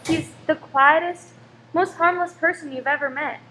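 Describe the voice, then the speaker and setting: Woman acting a high-voiced, guarded wife, echoey. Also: normal speed, pushed and bright. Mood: wry. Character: polite, protective married American woman, gently wry. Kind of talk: radio play acting. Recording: echoey, faintly noisy room.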